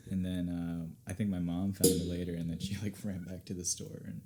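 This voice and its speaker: A man's voice: deep voice, level tone, brisk, heavy background noise. A young American man, a podcast guest, telling stories in an easygoing, rambling way during a casual interview. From United States.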